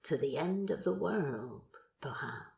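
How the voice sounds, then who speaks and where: A woman acts out a cool but lovestruck man for an audio drama. The voice is low and gravelly, with very slow, laconic delivery in short understated phrases. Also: expressive, a little mellow, full character voice. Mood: smitten, wondering. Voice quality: creaky, croaky. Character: Crowley, cool smitten man trying to act nonchalant. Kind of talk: fan fiction audio drama.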